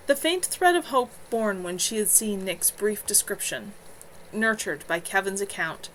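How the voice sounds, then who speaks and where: A woman's voice: mid-range voice, a bit bright. A woman reading a novel aloud as narration, an amateur author on a livestream from home. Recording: noisy home livestream audio.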